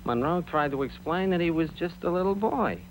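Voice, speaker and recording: A man's voice: fairly high pitch, expressive, brisk. A man narrating a cartoon like a storyteller, on a noisy old film soundtrack.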